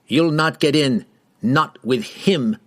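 Fantasy audiobook rider, acted by a male narrator: pompous, sneering town guard, formal old-fashioned wording, animated pitch. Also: unhurried, close to an everyday voice. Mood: scornful. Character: haughty, hostile male town rider, leader of patrol.

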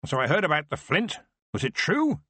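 A man doing a booming old wizard chancellor. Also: big pitch swings, fairly quick, sharp tone, dry recording, exaggerated voice. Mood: brusque. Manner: brusque, booming. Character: grand, bluff old male wizard chancellor.

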